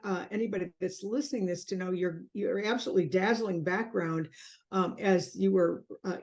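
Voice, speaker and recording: A woman hosting an interview, gently leading her guest and complimenting him. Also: medium pitch. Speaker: interview host. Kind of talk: video interview.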